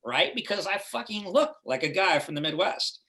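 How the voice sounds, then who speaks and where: Bright-voiced man rambling in casual chat. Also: high-pitched, animated, normal speed. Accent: Californian. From Los Angeles, USA. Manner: rambling, full of "like" and "right?". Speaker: guest actor.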